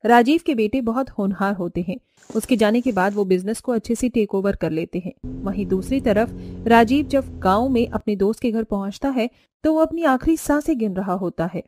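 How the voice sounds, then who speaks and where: Female story narrator, fairly high voice, dry close recording, scripted cartoon tale. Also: moderate pace, a little mellow, no echo.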